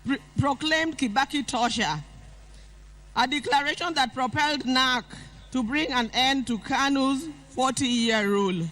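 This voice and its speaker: A woman's voice: high-pitched, unhurried, a bit bright, rough recording. An older woman with a Kenyan accent reading a formal eulogy aloud. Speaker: eulogist at a funeral.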